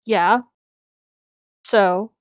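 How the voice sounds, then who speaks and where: A woman's voice: mid-range voice, very slow, no echo. A woman co-hosting a casual story reading, reading lines and adding teasing banter. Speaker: co-host reading story parts.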